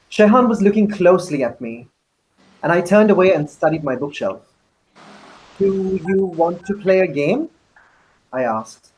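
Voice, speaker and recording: A man, an actor, narrating a first-person novel in a measured, deliberate dramatic reading. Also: on the high side, low-quality recording.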